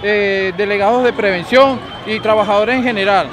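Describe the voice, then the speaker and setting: Man, street march, hesitant, very high voice, crowd and music behind. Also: steady tone, moderate pace. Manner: plain, with hesitations.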